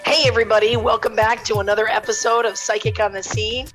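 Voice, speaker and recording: Hoarse raspy woman hosting over video call. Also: fairly high pitch, little pitch movement, fast, over music. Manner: chatty, laughs often. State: hoarse from laryngitis. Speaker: podcast host. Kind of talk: casual chat.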